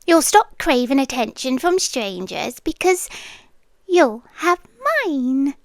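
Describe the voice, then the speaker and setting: A woman's voice: high-pitched, sing-song, takes it slowly, vocal fry, no echo, studio quality, exaggerated voice. A British woman playing a possessive, sweetly menacing landlady girlfriend in an intimate ASMR roleplay, coaxing and controlling, often dropping into whispers. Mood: doting, controlling.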